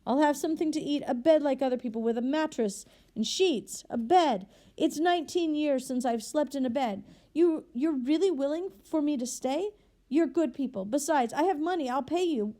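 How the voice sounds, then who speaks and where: A woman's voice: fairly high pitch, warm tone, close to an everyday voice. A woman voicing a stammering ex-convict man. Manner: stammering, pleading, tumbling words. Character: desperate ex-convict man, 46, worn and astonished.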